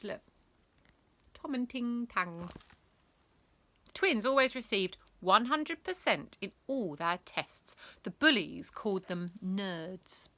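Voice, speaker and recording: A woman reading a children's bedtime story aloud in a theatrical, emphatic manner, with dramatic pauses. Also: medium pitch, sharp tone, dry recording.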